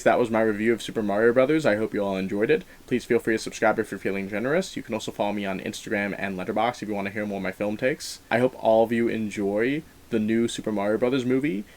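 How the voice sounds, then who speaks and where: A man hosting a film review show, talking casually and enthusiastically to viewers and sharing his opinions in a chatty way. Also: on the low side.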